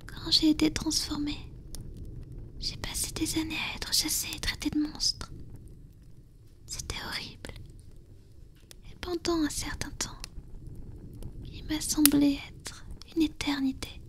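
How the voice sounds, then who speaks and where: A woman voicing a tender, affectionate female vampire in a soft, breathy, intimate whisper for an ASMR roleplay. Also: sits high, little pitch movement, unhurried, pauses often. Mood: wistful.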